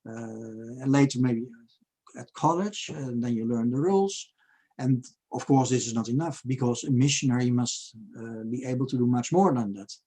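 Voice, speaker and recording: A man in his sixties with a Dutch accent, giving a halting academic seminar lecture over a video call and reading out quoted passages. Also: mid-range voice, warm tone. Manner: halting, many fillers, reads Spanish quotes aloud.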